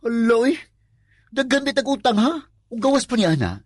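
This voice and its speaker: Man acting as colloquial working husband, Filipino accent, husky, expressive, questioning, radio drama. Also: very high voice, fairly quick, no echo, clean studio sound, heavily acted. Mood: alarmed. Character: easygoing working man, a worried, money-strapped husband.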